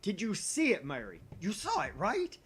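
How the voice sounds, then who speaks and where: A man voicing a self-important, excitable young mad scientist in a visual novel, with dramatic delivery. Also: sits high, normal speed, a little hiss or hum, pitched above the performer's own voice, full character voice. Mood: panicked. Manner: grandiose, dramatic, stammering when alarmed. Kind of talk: visual novel dialogue acting.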